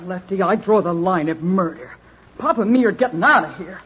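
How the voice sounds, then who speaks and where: An actress playing a fiery, defiant, slangy gangster's moll in an old-time radio crime drama. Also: female voice, lowish voice, expressive, a little fast, husky. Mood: indignant. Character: fiery, loyal nightclub dancer, fierce young gangster's moll. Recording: noisy, dull old radio recording.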